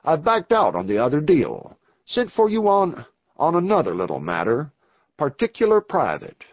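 Guy doing a big secretive western villain, clipped and businesslike, higher voice. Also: wildly swooping pitch, normal speed, no echo, lo-fi sound, heavily acted. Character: large, forceful, secretive male schemer. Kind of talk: Western novel dialogue.

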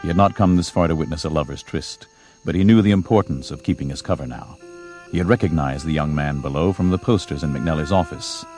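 Male narrator for western audiobook drama: very low voice, measured storytelling, music underneath. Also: a little mellow.

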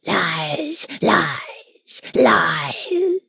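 A woman voicing an unseen menacing goblin in a storybook, with a hoarse, indistinct, coarse whisper. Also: medium pitch, sing-song, very slow, sharp tone, no echo, studio quality, exaggerated voice. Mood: mocking, menacing.

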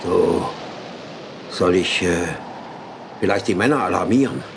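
An actor playing an uneasy deputy engineer on an oil rig in a German audio drama, talking colloquially and hesitantly. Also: male voice, sits low, measured pace, vocal fry, noisy background.

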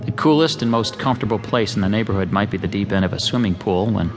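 A man's voice: fairly low pitch, fast talker, lightly acted. Earnest, troubled artist played by a man, music underneath. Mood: brooding, uneasy. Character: obsessed, lonely male amateur artist, broke and self-doubting. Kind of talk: dramatized audio drama, first-person narration and dialogue.

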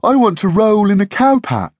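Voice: mid-range voice, sing-song, normal speed, darkish tone, dry recording, lo-fi sound, pitched above the performer's own voice. A narrator voicing a sleepy, scruffy male puppy in a storybook.

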